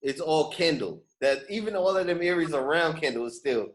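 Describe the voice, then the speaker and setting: A man's voice: on the high side, animated, a little fast. A man hosting a podcast in casual conversation, with slangy, emphatic street talk. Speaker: podcast host. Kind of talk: casual chat. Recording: echoey room.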